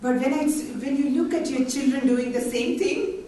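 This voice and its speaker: A woman's voice: high-pitched, fairly even intonation, warm tone, slight background noise. Middle-aged woman, earnest panel talk, roomy distant hall sound. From India. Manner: earnest storytelling with pauses. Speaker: panel speaker. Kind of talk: conference talk. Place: large conference hall. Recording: very echoey hall from far off.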